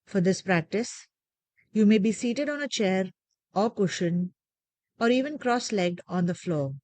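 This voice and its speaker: A woman leading a guided meditation with gentle, measured, soothing instructions and deliberate pauses. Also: medium pitch, animated, no echo.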